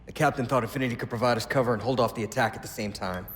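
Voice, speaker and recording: An actor playing a male starship first officer who talks in brisk military radio style. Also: medium pitch, big-room sound, a little hiss or hum, close to an everyday voice. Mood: earnest.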